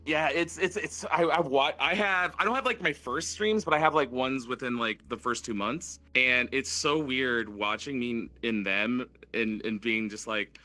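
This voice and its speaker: Male guest streamer, bright forceful tone, middle pitch, animated and self-deprecating. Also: moderate pace, hardly any pauses. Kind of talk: casual chat.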